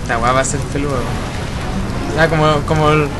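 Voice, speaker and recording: Chilean guy leading a game squad, high voice, swears, loud game music behind him. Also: fairly even intonation, normal speed. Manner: slangy, swearing, giving orders to teammates. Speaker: gamer leading a group playthrough. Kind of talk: casual gaming chat with friends. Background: loud video game music and effects.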